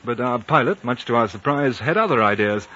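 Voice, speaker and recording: A man's voice: mid-range voice, a little fast. A man playing a composed, courteous British consul who leads and narrates a 1950s radio drama in articulate speech. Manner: calm, articulate, reasoning. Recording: muffled noisy 1950 radio recording.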